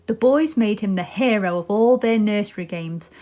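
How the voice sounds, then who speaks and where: A woman's voice: mid-range voice, moderate pace, mellow. A woman narrating a children's storybook expressively. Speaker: storybook reader.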